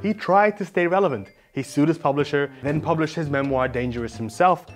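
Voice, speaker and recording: A man's voice: on the high side, brisk, faint music behind. A man hosting snarky scripted commentary in a sarcastic manner. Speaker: host.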